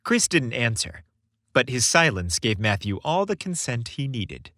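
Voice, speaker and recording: A man narrating a scripted romantic story in a deliberate, expressive storytelling manner. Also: mid-range voice, dry recording, clean studio sound.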